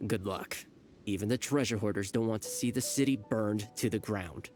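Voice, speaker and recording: An actor playing a nervous smooth-talking petty crook with a salesy manner, over game music. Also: male voice, fairly low pitch, normal speed, darkish tone, close to an everyday voice. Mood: shifty. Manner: salesy, nervous, talks his way out. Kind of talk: video game voice acting. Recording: game soundtrack under the voice.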